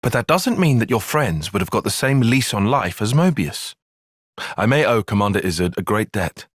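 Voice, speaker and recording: A man's voice: fairly low pitch, big pitch swings, normal speed, husky, dry recording, clean studio sound. A man with a British accent playing an earnest, analytical young soldier in a video game, speaking in formal, precise phrases. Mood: earnest, grave. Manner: formal, precise, bookish wording. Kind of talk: video game cutscene acting.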